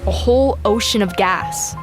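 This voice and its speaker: A woman's voice: mid-range voice, normal speed, music in the background, natural voice. A woman playing a chatty, curious space traveler in a sci-fi comedy audio drama, hesitant and given to theatrical exclamations. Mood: dreamy, matter-of-fact.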